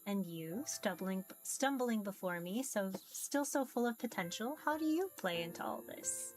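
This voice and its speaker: A woman voicing a mysterious ancient being in a visual novel, formal, measured, amused and curious about humans. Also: medium pitch, animated, darkish tone, faint music behind, close to an everyday voice, poor audio. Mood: curious, musing. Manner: formal, measured, archaic phrasing. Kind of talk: visual novel character read-aloud.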